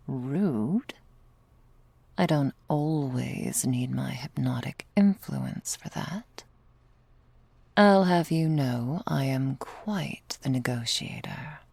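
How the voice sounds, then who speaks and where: A woman playing a drowsy, possessive, affectionate ancient vampire girlfriend in a soft, breathy ASMR roleplay, speaking languidly in formal, old-fashioned phrases with a teasing manner, close to the microphone. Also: very deep voice, lively intonation, very slow, long pauses, no room sound, exaggerated voice. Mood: wry, teasing. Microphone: close microphone, dry.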